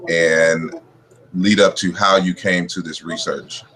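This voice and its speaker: Deep-voiced man hosting an interview. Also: level tone, slow, a bit bright, low-quality recording. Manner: informal, filler-heavy. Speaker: host.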